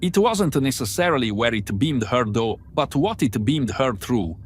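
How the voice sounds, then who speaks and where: A man's voice: medium pitch, expressive, moderate pace, a little hiss or hum, natural voice. A man playing an analytical starship crew member in an amateur sci-fi drama, explaining things precisely and methodically. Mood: explanatory.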